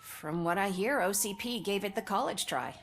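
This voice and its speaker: A woman's voice: mid-range voice, normal speed, slight background noise, close to an everyday voice. Woman voicing sardonic commercial actress, dry chatty talk, game character. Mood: wry.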